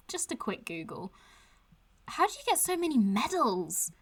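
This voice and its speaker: Irreverent, strong-minded young woman, audio drama. Chatty, casual, teasing; higher-pitched, animated delivery. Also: unhurried, slightly rough, heavily acted. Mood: curious, friendly. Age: young adult. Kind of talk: audio drama acting, vlog-like casual chat.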